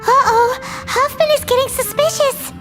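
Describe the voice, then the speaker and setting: A woman playing a small, childlike, excitable fairy companion in a video game, exclamatory and easily alarmed. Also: very high-pitched, moderate pace. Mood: panicked. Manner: speaks of herself in third person, exclamatory. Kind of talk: English video game dub acting. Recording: music behind the voice.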